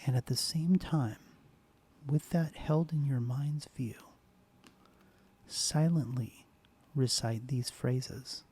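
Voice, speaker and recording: A man hosting a soft-spoken ASMR guided meditation, speaking slowly and gently, often dropping into a whisper. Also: medium pitch, slightly rough. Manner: slow, soft, partly whispered. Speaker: ASMR host.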